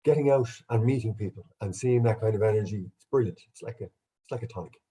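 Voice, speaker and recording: Bunged-up Irish man, dark husky voice. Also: fairly low pitch, quick pace. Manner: relaxed, chatty, self-deprecating. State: bunged up with a cold. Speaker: guest speaker, archaeologist. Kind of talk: online lecture with slides. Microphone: video call.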